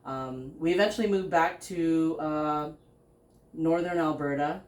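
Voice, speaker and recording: A middle-aged woman hosting a podcast from a living room sofa, telling her own story in a halting, casual way with many fillers and short laughs. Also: low-pitched, darkish tone, roomy sound. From Philippines, raised in Canada. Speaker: podcast host and business founder. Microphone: stand mic between speakers.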